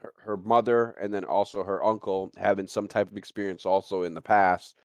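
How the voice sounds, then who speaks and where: A man co-hosting a casual livestream, talking in a halting way and repeating phrases as he thinks aloud. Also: deep voice, fairly even intonation, a little mellow, dry recording. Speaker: co-host of a live show.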